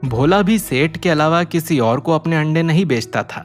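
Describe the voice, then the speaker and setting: A man's voice: a little high, animated, moderate pace. A man narrating a scripted cartoon story as its storyteller. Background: music.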